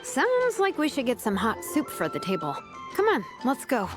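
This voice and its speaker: A woman's voice: sits high, wildly swooping pitch, normal speed, over music. A woman playing a friendly, easygoing young woman in an anime-style game, casual and upbeat.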